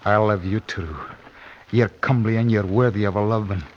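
An actor playing a roguish, sweet-talking Scottish prisoner in an old radio drama. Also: male voice, on the low side, fairly even intonation, moderate pace, lightly acted. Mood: wooing. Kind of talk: 1950s radio drama acting. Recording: muffled, noisy old radio recording.

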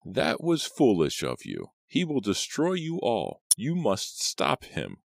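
A man voicing a stooped old woman from a horror storybook, slow and commanding, with a deep, raspy, gravelly croak. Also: huge pitch swings, dry recording, studio quality, exaggerated voice. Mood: grave warning. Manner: slow, commanding, ominous pronouncements. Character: menacing, stooped old crone fortune-teller in woods.

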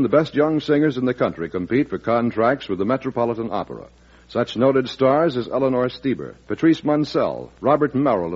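A male radio announcer reads a scripted promotion in a polished, formal vintage style. His voice is husky and lively in pitch, on a muffled old broadcast.

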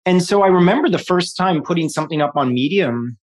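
A man's voice: sits high, animated, a little fast. Rambling man in his forties. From New York City. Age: 40s. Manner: rambling, many restarts and fillers, laughs often. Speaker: guest. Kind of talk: casual interview conversation.

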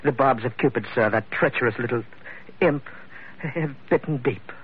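An actor playing a scheming, theatrical gentleman rogue who speaks in a pompous, unctuous, flowery way in an old radio drama. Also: medium pitch, moderate pace, breathy edge, natural voice. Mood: pleading. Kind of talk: 1950s radio drama acting. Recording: muffled old radio recording, faint noise.

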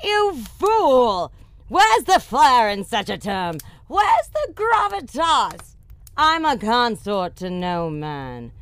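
A slow-spoken, imperious evil empress in a fantasy audio drama. She is vain, pompous and quick to rage, with a high voice that swings dramatically. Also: huge pitch swings, fairly bright tone, dry recording, heavily acted. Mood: indignant, grandiose. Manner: pompous, theatrical, commanding. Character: vain, imperious villainess emperor, haughty and easily enraged.

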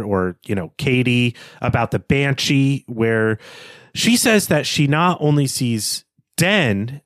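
A man's voice: mid-range voice, no echo. A man co-hosting a literary podcast, analysing a text as he thinks aloud in a reflective way. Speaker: podcast host.

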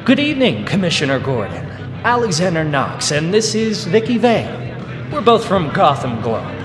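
A man's voice: a little high, very animated, moderate pace. An actor playing a nosy, wisecracking male newspaper reporter, brash and pushy, in an echoey audio drama with music. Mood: brash, prying. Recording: echoey hall, music behind.

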